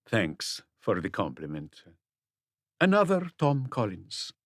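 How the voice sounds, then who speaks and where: A man voicing a suave, guarded crook turned businessman in an audiobook, with slow, smoothly polite, deliberate speech. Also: fairly low pitch, huge pitch swings, no room sound, studio quality, heavily acted. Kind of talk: audiobook character dialogue.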